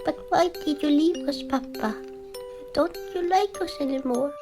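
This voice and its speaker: A woman's voice: high-pitched, a little slow. A woman playing a plaintive, childlike bird-creature daughter in a dramatic audio story. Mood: hurt, pleading. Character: pleading little bird-creature daughter, devoted to her father. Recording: loud music behind.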